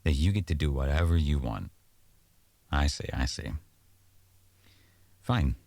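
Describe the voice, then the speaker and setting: A man's voice: very deep voice, expressive, measured pace, gravelly, darkish tone, dry recording, full character voice. A man playing an aggrieved, sarcastic boyfriend arguing at home in an audio roleplay, accusatory and exasperated. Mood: defiant, bitter. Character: aggrieved, sarcastic boyfriend in a heated domestic argument.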